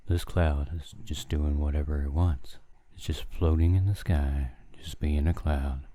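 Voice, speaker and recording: A man giving soothing, unhurried narration in the manner of a gentle lesson. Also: very deep voice, fairly even intonation.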